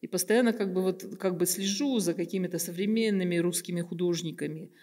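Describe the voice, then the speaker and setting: An older woman with a slightly low voice speaks slowly and reflectively, pausing often and searching for her words. She sits at a table mic in a bright room, and her voice carries a noticeable room reverb. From Kazakhstan. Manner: thoughtful, many pauses and fillers. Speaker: guest artist. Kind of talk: reflective interview talk. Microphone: table microphone on stand.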